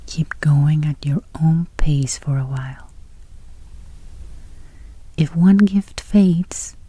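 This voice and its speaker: Slow, whispery woman guiding meditation. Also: sits low, no echo. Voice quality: soft, breathy, near-whisper. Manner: gentle, unhurried, soothing. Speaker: meditation guide. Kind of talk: guided meditation.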